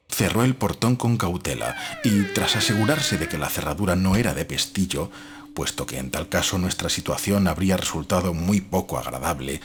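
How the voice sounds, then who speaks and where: A man's voice: low-pitched, slight background noise. A man reading a gothic horror audiobook as a scripted, dramatic narration. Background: faint music.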